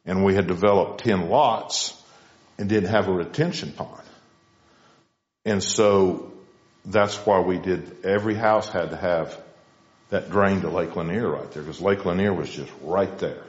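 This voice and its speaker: Slow, deep-voiced builder. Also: male voice. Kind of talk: explaining work at a public hearing. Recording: roomy, dull meeting-hall recording.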